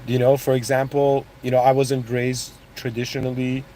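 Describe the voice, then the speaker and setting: A man's voice: mid-range voice, flat delivery, moderate pace, warm tone, slight background noise, poor audio. A man born in Iran and raised in Canada, giving a casual, rambling motivational talk full of restarts and asides. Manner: rambling, with restarts and "you know what I mean". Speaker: motivational speaker.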